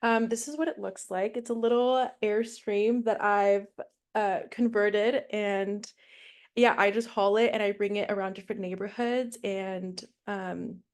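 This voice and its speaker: A woman's voice: medium pitch, a little mellow. A woman from Mexico teaching her first online class over a video call, casual and chatty with fillers. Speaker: guest instructor.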